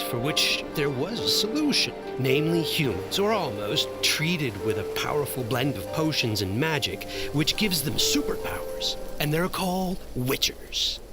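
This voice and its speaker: An actor playing a wry, playful storyteller who narrates a fantasy game saga in scripted style, with teasing asides to the listener. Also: male voice, mid-range voice. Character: wry male storyteller recounting a fantasy saga as the hero's buddy.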